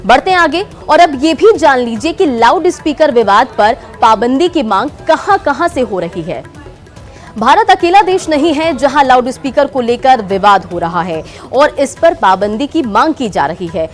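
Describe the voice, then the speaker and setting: A woman's voice: sits high, animated, moderate pace, soft background music. A woman news anchor reading scripted explainer segments in a presenter's register. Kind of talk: scripted news narration with live anchor hand-off.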